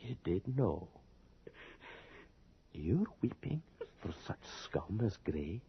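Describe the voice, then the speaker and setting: An actor playing a sardonic, domineering Scottish body-snatcher in a radio horror drama, taunting and husky, swinging from hushed to forceful. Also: male voice, sits low, big pitch swings, slow, exaggerated voice. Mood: mocking, sinister. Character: menacing, sardonic male medical body-snatcher, cynical and domineering. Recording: muffled lo-fi radio drama recording.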